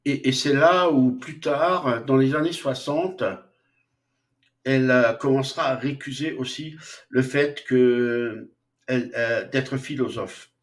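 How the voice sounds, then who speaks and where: A man lecturing on philosophy at an unhurried, deliberate pace. He talks off the cuff, stopping often for fillers and restarting his sentences. His voice sits at an ordinary middle pitch. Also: steady tone. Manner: slow, hesitant, frequent fillers and restarts. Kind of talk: unscripted lecture.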